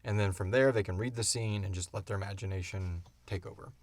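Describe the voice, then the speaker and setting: A man in his thirties with a fairly low voice, recorded dry. He talks as a podcast host in an informal chat, telling stories in an animated, loose way and acting out bits as he goes. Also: fast talker, no echo. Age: 30s. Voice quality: wheezy breathing. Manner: animated storyteller, acts out sounds and swears casually. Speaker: podcast host and audio drama creator. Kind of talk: casual chat answering listener questions.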